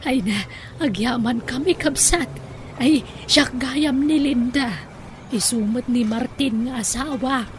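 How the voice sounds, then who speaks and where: A woman's voice: high voice, slow, a little hiss or hum. A woman playing a humble, pleading older wife and grandmother with an Ilocano accent in an audio drama. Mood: polite.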